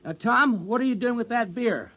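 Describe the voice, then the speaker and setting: An eager, self-important policeman in a radio play. The voice is very high and sharp, stammering with officious duty. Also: expressive, moderate pace, exaggerated voice. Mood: officious, suspicious. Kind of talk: 1950s radio comedy drama acting.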